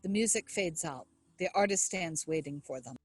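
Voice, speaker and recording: A woman narrator with a husky voice reading stage directions as scripted narration. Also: fairly low pitch, normal speed, no echo, clean studio sound, faint music behind.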